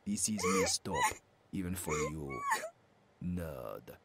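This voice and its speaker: A woman's voice: very deep voice, wildly swooping pitch, slow, full character voice. A woman playing a blunt, fed-up underling with curt, clipped broken English, in a horror audio roleplay on a noisy track. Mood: disapproving. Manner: curt, clipped phrasing, unimpressed.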